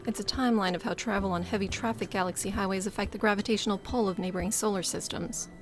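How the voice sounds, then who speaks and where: An actress playing a bookish, blunt, literal-minded young space biker in an audio drama, precise and deadpan. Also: female voice, mid-range voice, fast talker, close to an everyday voice. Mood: matter-of-fact.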